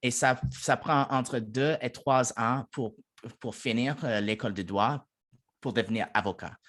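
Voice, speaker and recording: A man's voice: medium pitch, unhurried, a bit bright. A man from Toronto, a native English speaker with an English-speaking Canadian accent, giving an informational presentation in his second language with many fillers and restarts.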